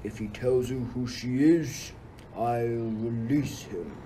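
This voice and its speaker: A man's voice: medium pitch, slow, mellow, lightly acted. A man playing a vengeful king of the gods in a radio play, pompous and declamatory. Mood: brooding. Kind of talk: amateur radio play acting. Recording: slightly noisy amateur recording.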